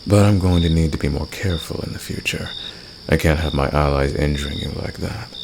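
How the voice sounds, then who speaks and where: A confident villain acted by a man speaks in an extremely deep, creaky voice. He is flirtatious and teasing, intimate as in ASMR romance audio, with a protective streak. Crickets and night noise are clearly heard behind him. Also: brisk. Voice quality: very deep, gravelly. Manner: teasing, smug, intimate, soft chuckles.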